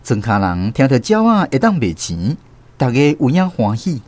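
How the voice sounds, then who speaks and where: A man's voice: medium pitch, wildly swooping pitch, normal speed, darkish tone, dry recording. A man with a Taiwanese accent playing a storyteller who recalls his village childhood in a radio drama. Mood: reminiscent, animated storytelling.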